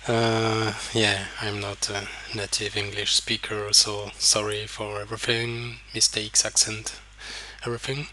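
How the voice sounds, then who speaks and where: A French-accented man whose first language is French speaks slowly, taking his time over each point. His voice has a bright, forceful edge. He walks through poker decisions in a halting, thinking-aloud way and often repeats words as he goes. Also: fairly low pitch, monotone, slight background noise, low-quality recording. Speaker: poker coach. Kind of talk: explaining hands over screen recording.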